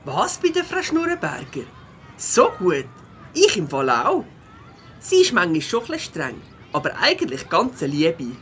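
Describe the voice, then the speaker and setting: Casting: woman as friendly young beaver schoolboy, very animated, Swiss German, children's audio story with some background noise. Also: lowish voice, normal speed. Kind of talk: children's audio play acting.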